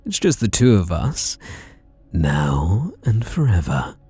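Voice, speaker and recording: A British man playing a possessive, flirtatious masked slasher in an intimate ASMR roleplay, teasing and softly menacing. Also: sits low, wildly swooping pitch, breathy edge, soft background music, exaggerated voice. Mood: possessive, seductive. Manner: teasing, softly menacing, drawn-out delivery with sudden swoops.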